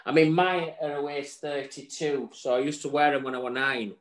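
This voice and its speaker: Man in his forties, chatty co-host on a webcam, fairly high voice, jokey. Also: steady tone, normal speed. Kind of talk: casual chat show banter. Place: home room with virtual bar backdrop. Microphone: video call webcam.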